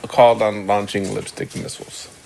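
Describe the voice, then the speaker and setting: A man's voice: fairly low pitch, flat delivery, normal speed, gravelly, crowd noise, rough recording. A man taking part in a game as a panelist, casually reading out his answers.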